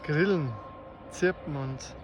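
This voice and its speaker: A man with a Bavarian accent improvises spoken poetry outdoors in a slow, reflective, halting way, in short phrases with long pauses. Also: fairly high pitch. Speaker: amateur poet. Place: outdoors in the countryside. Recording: faint outdoor nature noise. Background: birdsong and rural ambience.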